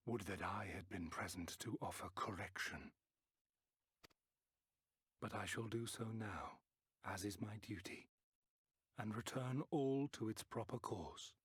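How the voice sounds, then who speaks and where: Slow, disdainful ancient villain. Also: male voice, fairly low pitch, breathy edge, no echo, exaggerated voice. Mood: grave, reproachful. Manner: measured, archaic, formal, disdainful. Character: ancient male Ascian emissary, cold, condescending schemer. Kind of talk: dramatic fantasy video game acting. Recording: music behind the voice.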